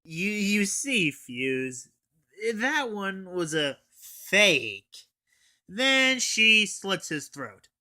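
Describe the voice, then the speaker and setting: A man's voice: high voice, sing-song, very slow, bright, forceful tone. A teenage male anime reviewer giving an informal comic recap in a dry, slangy way. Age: teens.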